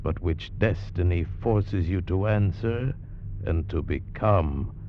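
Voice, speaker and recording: A man's voice: deep voice, slow pace, a little hiss or hum. A man reading a dramatic 1940s radio teaser in a grave, portentous manner. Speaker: radio announcer reading next week's teaser. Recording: muffled old radio recording with music.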